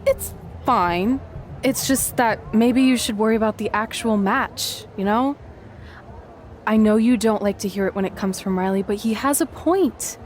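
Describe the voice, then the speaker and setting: An actress plays an upbeat young female rookie wrestler in a video game. Her voice sits a little high and sounds friendly and sincere, with faint noise in the background. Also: unhurried, natural voice. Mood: earnest. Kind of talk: video game cutscene acting.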